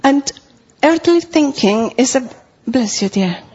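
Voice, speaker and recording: A woman's voice: high-pitched, lively intonation, takes it slowly, darkish tone, breathy edge, highs cut off. A middle-aged woman from Costa Rica with a Spanish accent, preaching a sermon in an earnest way and repeating phrases for emphasis.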